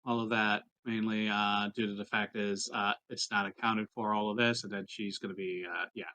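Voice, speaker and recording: Bright-voiced man in casual game chat. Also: on the low side, level tone, normal speed. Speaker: tabletop game player.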